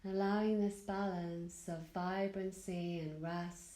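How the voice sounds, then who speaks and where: A woman guides a meditation with soothing patience. Her voice sits a little low and moves very slowly, with long gaps between phrases. The recording is roomy, with clear echo around her words. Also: level tone. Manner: soothing, gentle, unhurried with long pauses. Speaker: yoga nidra teacher guiding a meditation. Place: indoor room at a retreat.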